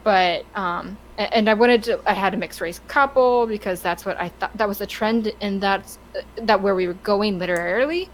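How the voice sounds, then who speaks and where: A woman's voice: mid-range voice, moderate pace, a little hiss or hum, rough recording. A woman chatting casually and giving her opinions on a podcast, stammering and restarting her sentences often. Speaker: podcast co-host.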